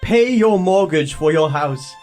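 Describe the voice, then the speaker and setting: A man playing an elderly reformed miser in an audio drama, theatrical and exclamatory, a jolly old gentleman. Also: on the high side, animated, unhurried, soft background music. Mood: merry, generous.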